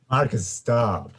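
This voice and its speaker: A man's voice: mid-range voice, breathy edge, heavily acted. A man playing a cool, aloof, trendy young man with a hesitant, polite manner in a screenplay table read. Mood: coolly amused.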